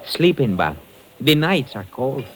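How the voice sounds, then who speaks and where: Genial Mexican-accented radio bartender. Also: male voice, medium pitch, very animated, unhurried, a little hiss or hum. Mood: chatty, obliging. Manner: chuckling, hesitant, obliging. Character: genial, chatty Mexican bartender selling bus tickets. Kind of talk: 1950s radio acting.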